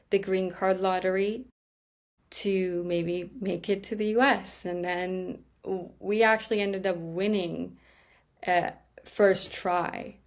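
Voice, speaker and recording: A woman from Iran telling her life story as a podcast guest, hesitant and full of restarts. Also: medium pitch. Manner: slow, hesitant, many ums and restarts.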